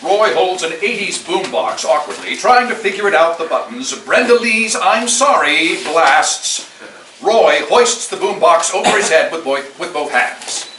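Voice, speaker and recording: A lively, slightly high-voiced man reads scripted stage directions at a live table read. The sound is echoey and noisy, picked up from some way off in the room. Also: a little slow. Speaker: narrator reading stage directions. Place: live staged reading at a party. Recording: echoey room, distant mic, clearly noisy.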